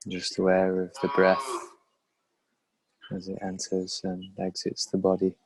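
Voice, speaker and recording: A man leading a guided meditation in a calm, unhurried manner with measured, pausing phrases. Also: low-pitched, slightly rough, poor audio.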